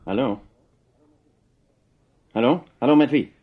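A man's voice: medium pitch, takes it slowly, phone-quality sound, background noise, lightly acted. An actor playing an urgent, emphatic, hot-blooded man in love in a radio play. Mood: angry.

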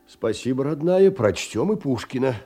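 An actor playing a tender, anxious, humble older clerk, speaking effusively and pleadingly in a theatrical monologue with folksy endearments. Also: male voice, mid-range voice, lively intonation, moderate pace, dark tone, soft background music, lightly acted. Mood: tender, musing. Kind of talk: theatrical stage monologue of a Russian classic.

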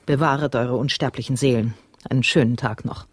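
A man's voice: medium pitch, fairly even intonation, brisk, slightly rough, dry recording, lightly acted. A man playing a sour, pious, stern widow in a radio play, curt and scornful in manner. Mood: cold, reproachful.

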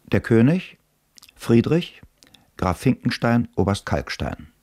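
A man's voice: medium pitch, sing-song, slow, a little mellow. A man narrating stage directions for a radio play in an announcing manner.

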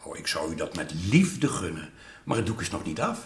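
A man acting a proud, bossy old-Amsterdam master painter in a radio drama, commanding and theatrical, smooth-talking with clients. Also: low voice, very animated, brisk. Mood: suave, placating. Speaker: actor. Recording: roomy radio play studio sound.

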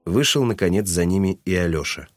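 A man's voice: deep voice, lively intonation, fairly quick, faint music behind. A man reading scripted literary narration for an audio drama.

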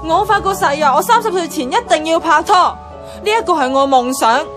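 A woman's voice: very high voice, brisk, music in the background. A woman playing an anxious, defensive single office worker in a Hong Kong Cantonese radio drama, speaking in an emphatic, plaintive way. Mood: distraught, pleading. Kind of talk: radio drama dialogue with narration.

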